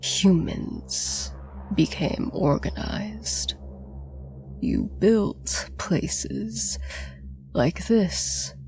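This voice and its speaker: A woman voicing a calm, ancient male ghoul with a raspy whisper in a storybook horror tale. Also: mid-range voice, soft background music. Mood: calm menace. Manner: slow, calm, breathy whispering.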